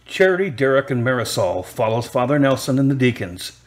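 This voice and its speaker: A man's voice: medium pitch, sing-song, fairly quick. A man reading scripted narration for a radio drama as its narrator. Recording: music under the narration. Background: music.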